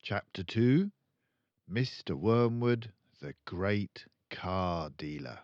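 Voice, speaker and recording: An older man reading a children's book aloud as a storyteller, expressive and deliberate. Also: lowish voice, dry recording, highs cut off.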